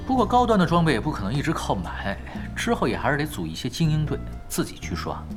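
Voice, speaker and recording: A laid-back pro gamer, lively intonation. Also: male voice, a little high, fast, music in the background. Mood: casual, businesslike. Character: laid-back, skilled male pro gamer, calm and focused. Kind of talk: Chinese audio drama acting.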